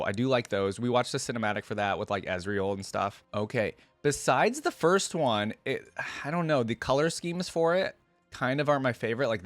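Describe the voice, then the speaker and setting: A man's voice: mid-range voice, no room sound. A man giving casual, informal reaction commentary as an online host, musing and thinking aloud as he goes.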